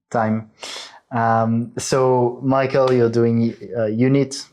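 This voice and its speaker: A man hosting a podcast interview, talking in a relaxed, halting way with frequent restarts. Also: medium pitch. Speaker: podcast host.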